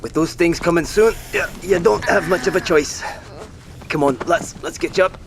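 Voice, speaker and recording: A man's voice: normal speed, close to an everyday voice. An actor playing a wary, commanding but courteous male survivor in a naturalistic audio drama, giving insistent orders. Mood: urgent, insistent. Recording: faint low rumbling background noise.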